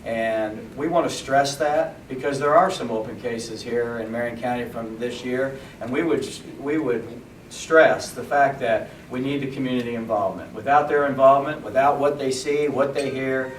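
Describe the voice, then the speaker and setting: A man's voice: medium pitch, steady tone, normal speed. Earnest male deputy police chief. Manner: earnest, thanking people, frequent uh fillers. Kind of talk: press conference statement. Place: press conference room. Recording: echoey room, distant mic, background noise.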